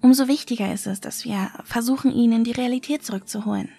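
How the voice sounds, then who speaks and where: An actress playing a wary, indignant young female survival contestant in a horror audio drama, with a breathy voice. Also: a little high, fast talker, warm tone, soft background music. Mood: sober, measured.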